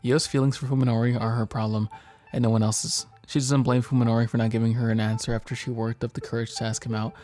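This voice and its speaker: A man's voice: mid-range voice, little pitch movement, fast, few pauses, dark tone, soft background music. A man in casual gaming chat, reading the story aloud and breaking off to joke and swear. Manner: jokey, informal, swearing. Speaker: gaming video co-host. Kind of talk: casual chat and reading aloud while playing a visual novel.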